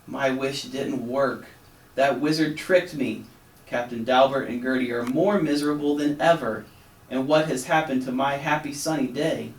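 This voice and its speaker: A man voicing a kind young male bullfrog who is eager and easily upset, exclaiming in a high, pleading voice. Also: normal speed, natural voice. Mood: dejected. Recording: roomy, slightly distant, faint hum.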